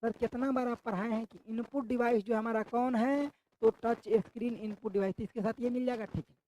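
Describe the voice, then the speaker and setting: A man's voice: very high voice, steady tone, a little fast, mellow, slight background noise, poor audio. Man teaching with a sore throat. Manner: repeats questions back to the class, quizzing style. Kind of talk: online exam-prep class.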